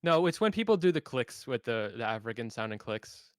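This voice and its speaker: A man's voice: mid-range voice, big pitch swings, brisk, no room sound. Stammering man, dry close sound. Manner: stammering, joking. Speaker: panelist. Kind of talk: casual online panel chat.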